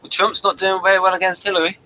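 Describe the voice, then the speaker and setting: A man hosting a casual episode review, speaking in a halting, hesitant way. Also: high voice, flat delivery, sharp tone, poor audio. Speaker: review host.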